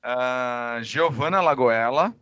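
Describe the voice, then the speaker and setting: A Brazilian man, a high school teacher with a cold, giving informal class announcements online and addressing students directly. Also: slow, fairly bright tone, clean tone, highs cut off. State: recovering from a cold. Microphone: microphone.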